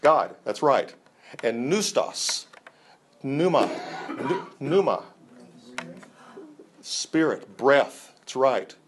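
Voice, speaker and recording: A man's voice: medium pitch, very animated, extremely slow, long pauses, background noise. An enthusiastic man teaching a Bible class, talking conversationally with frequent restarts and fillers.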